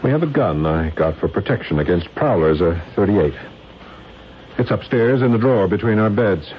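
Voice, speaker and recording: An actor playing a cold, determined scientist husband who methodically plots murder in a radio drama. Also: male voice, on the low side, animated, normal speed, lightly acted. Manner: methodical, matter-of-fact. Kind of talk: 1970s radio drama acting. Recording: dull, hissy old radio recording.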